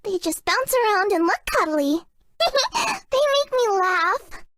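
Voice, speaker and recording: Game role, young female kitsune: tiny sky-high girlish voice, earnest, playful, easily flustered, close dry sound. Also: animated, slow pace, sharp tone, dry recording, heavily acted. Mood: shy, playful. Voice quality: girlish, cutesy. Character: shy, sweet, excitable young female kitsune fox spirit. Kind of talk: video game character voice lines.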